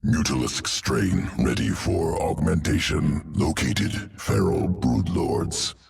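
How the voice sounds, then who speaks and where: Game alien geneticist beast, cold, clipped syntax, slow delivery, heavy gravel. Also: male voice, very deep voice, lively intonation, a little mellow, full character voice. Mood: clinical. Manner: clipped, article-dropping, clinical. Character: clinical alien gene-manipulating creature, coldly fixated on essence. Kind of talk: video game creature acting.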